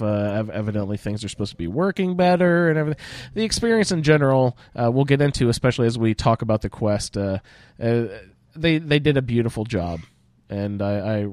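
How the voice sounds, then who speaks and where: A man hosts a casual gaming podcast at a middling pitch. His phrasing is halting, with pauses to search for words. The sound is dry and close to the mic. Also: darkish tone, dry recording. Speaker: podcast host. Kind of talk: casual roundtable chat about a video game.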